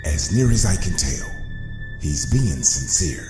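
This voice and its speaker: Menacing cartoon crime boss, extremely deep, slow theatrical delivery, wildly swinging intonation, scheming. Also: male voice, very animated, roomy sound, heavily acted. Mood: boastful, calculating. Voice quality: gravelly, very deep. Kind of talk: comic-book cartoon villain acting. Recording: music behind the voice. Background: music.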